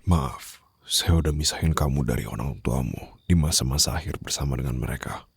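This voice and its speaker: A man playing a brooding, protective mafia boss in an intimate ASMR roleplay, speaking slowly and softly in a husky, breathy voice that drifts into whispers. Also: very deep voice, no room sound, full character voice. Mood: gentle, consoling. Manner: slow, hushed, formal and soothing, drifting into whispers. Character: brooding young male mafia boss, commanding yet tenderly protective. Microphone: close studio microphone, dry.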